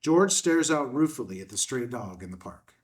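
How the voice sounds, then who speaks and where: A man's voice: medium pitch, lively intonation, moderate pace. A man reading screen directions as the narrator, in scripted narration. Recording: distant mic.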